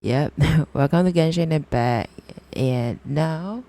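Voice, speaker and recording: A woman's voice: low-pitched, slow, mellow. A woman live-streaming a video game with casual, hesitant commentary, often murmuring asides to herself.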